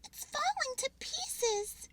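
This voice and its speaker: A woman voicing an excitable, earnest young boy squirrel from a storybook, breathless and exclaiming. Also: very high-pitched, slow, slight background noise, voice pushed up, full character voice. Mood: urgent.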